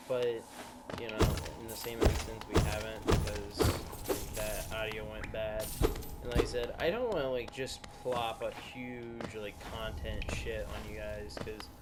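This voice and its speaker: A young man with a shrill voice, chatting loosely as he plays a video game, rambling with lots of fillers and restarts into a USB microphone. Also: unhurried, very noisy. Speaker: gameplay commentator. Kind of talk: casual let's-play chat. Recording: loud game music behind.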